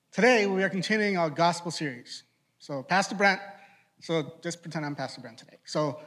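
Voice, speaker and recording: A young man from Trinidad with a Trinidadian accent touched by Boston, preaching a casual, conversational youth sermon as a ministry director. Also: a little high. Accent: Trinidadian, with Boston influence. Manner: conversational, asks rhetorical questions, quick asides.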